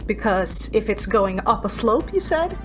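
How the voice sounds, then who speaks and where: A woman talks through her character's moves as a player in a tabletop game. Her pitch is medium and her delivery very unhurried, with music clearly playing behind her. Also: sing-song. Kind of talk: describing her character's actions and asking questions.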